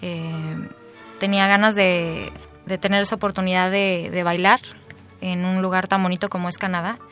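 A young woman from Mexico, a ballet dancer, answers questions in a radio interview. She speaks thoughtfully, pausing and restarting as she goes. Soft music plays faintly underneath. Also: fairly low pitch, flat delivery. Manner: thoughtful, hesitant.

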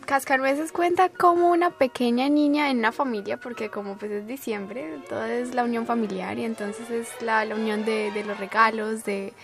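Hesitant young Colombian woman, gravelly edge. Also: on the high side, fairly even intonation, normal speed, few pauses, soft background music. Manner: hesitant, repeats words while explaining. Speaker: ballet dancer, guest. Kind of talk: radio interview.

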